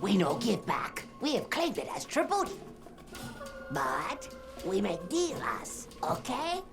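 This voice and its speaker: Slow-talking, pompous little forest creature. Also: female voice, medium pitch, huge pitch swings, gravelly, full character voice. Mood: haughty bargaining. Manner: pompous broken English with mangled big words. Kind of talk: video game cutscene acting. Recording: music behind the voice. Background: game music.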